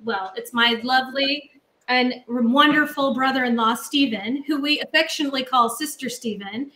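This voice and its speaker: A woman's voice: high-pitched, normal speed, pushed and bright, echoey room. A middle-aged woman cooking in her home kitchen and chatting on a video call, rambling casually with lots of ums. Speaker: guest cook. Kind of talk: casual cooking demo chat. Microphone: laptop webcam on a video call.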